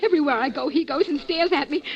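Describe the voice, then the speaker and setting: A woman's voice: sits high, quick pace. A woman playing an anxious, haunted young lady in old-time radio drama, melodramatic and fretful. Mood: frightened, insistent. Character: anxious, haunted woman being stalked, someone's daughter. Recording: dull vintage radio recording, music behind.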